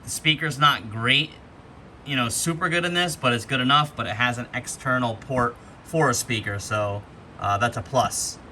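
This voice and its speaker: A man's voice: mid-range voice, moderate pace. A man hosting a casual, hands-on product walkthrough, explaining things informally as he goes. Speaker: host demonstrating radio gear. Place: attic, with rain outside. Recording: background noise, rainy attic ambience.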